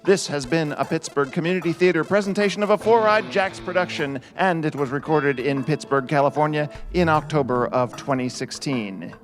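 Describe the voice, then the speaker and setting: Male announcer, slightly high voice, reading radio play credits over music. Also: moderate pace, hardly any pauses. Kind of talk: scripted radio show credits.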